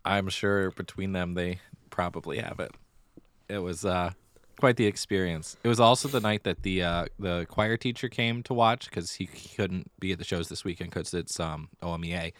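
A man with a low voice talks casually as a podcast co-host. He tells stories in a chatty, slightly theatrical way, and the sound is dry with very little room in it. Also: a bit bright, no echo. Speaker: middle school theater director. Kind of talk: casual conversational podcast chat.

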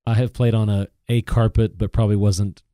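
A man in his thirties joins in as a podcast co-host, relaxed and laughing easily. His voice is very low and warm, and it sounds dry and close, with no room around it. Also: a little fast, no echo. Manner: easygoing, laughs often. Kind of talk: casual chat.

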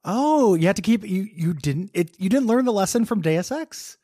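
Podcast co-host guy, kinda high voice, laughs a lot, rambly riffs, dry close sound. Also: lively intonation, normal speed, warm tone, slightly rough, no echo. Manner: rambling, laughs often, riffs on pop culture. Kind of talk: casual chat.

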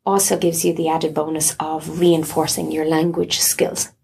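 A woman's voice: sits low, little pitch movement, moderate pace, gravelly, a little mellow, slightly rough. An Irish woman in her thirties, explaining her views thoughtfully in a conversational interview. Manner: thoughtful, explanatory, with restarts and asides.

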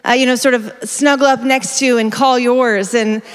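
A woman preaching at a church conference in an energetic, conversational way, often correcting herself mid-sentence. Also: a little high, moderate pace, smooth. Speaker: conference speaker. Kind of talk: sermon. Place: large church hall. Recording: long reverb of a church hall.

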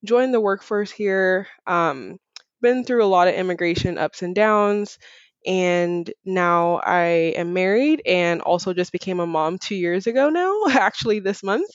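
A woman's voice: lowish voice, lively intonation, a little slow, dry recording, lo-fi sound. A woman from Barbados answering casually and informally as a podcast guest, sprinkling in "like" and "you know". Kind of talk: casual conversational interview answers.

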